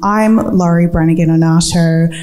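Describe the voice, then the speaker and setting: Panel moderator: woman, soft-edged mellow tone, slightly low pitch, speaking live. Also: fairly even intonation, moderate pace. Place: live festival talk before an audience.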